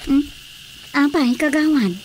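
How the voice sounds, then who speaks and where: A woman's voice: sits high, slow, rough recording. A woman with an Ilocano accent playing an anxious, nagging woman in a radio drama. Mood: worried. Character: anxious, scolding woman fussing over an ailing drinker. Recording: faint background noise.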